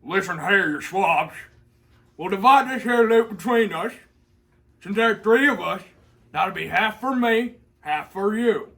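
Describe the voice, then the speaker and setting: Teen guy doing a loud blustering pirate-y robber boss, highish voice, swoops around. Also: lively intonation, unhurried, creaky, full character voice. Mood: gruff bullying. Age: teenager. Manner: loud, bullying, pirate-style talk. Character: blustering, threatening robber captain. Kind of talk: classroom reader's theater. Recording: classroom recording.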